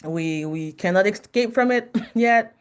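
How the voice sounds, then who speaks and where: A woman from Canada with a French Canadian accent, a podcast guest and marketing advisor who speaks frankly in a loud voice, pausing often with ums. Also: medium pitch, clear, resonant tone. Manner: frank, hesitant with many ums, laughs often. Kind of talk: interview conversation.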